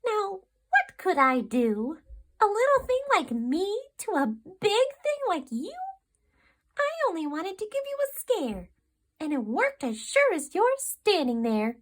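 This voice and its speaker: A woman voicing a cheeky little gray male mouse in a storybook, squeaky and teasing. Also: sing-song, a little slow, exaggerated voice.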